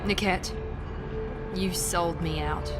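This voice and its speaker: A woman's voice: lowish voice, fairly even intonation, slow pace. An actress playing a proud young woman operative in a video game, controlled but bitter and wounded. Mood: hurt, betrayed. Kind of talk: cinematic video game acting. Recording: loud music behind.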